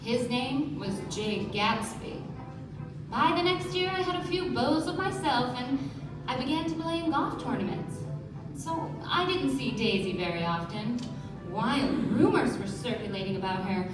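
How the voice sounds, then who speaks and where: A woman acting a poised young socialite who narrates dramatically in a live radio play. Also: high-pitched. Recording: echoey stage, distant mic, music behind.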